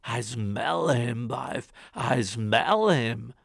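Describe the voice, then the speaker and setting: Big slow gravelly ogre - guy doing it, loud and growly, dry sound. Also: medium pitch, expressive, no echo, exaggerated voice. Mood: menacing. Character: huge bellowing male ogre, greedy and gruff.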